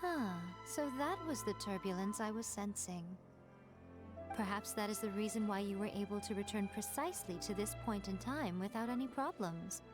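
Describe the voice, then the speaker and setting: A woman's voice: medium pitch, lively intonation, moderate pace, lightly acted. A woman voicing a sly, teasing fox-spirit shrine priestess in a game, wry and knowing. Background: game soundtrack music.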